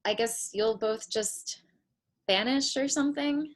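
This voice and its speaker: A woman playing a determined, hopeful young aspiring writer, speaking deliberately in a comedic table read. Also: on the high side, lightly acted. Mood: apologetic. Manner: deliberate, resolute.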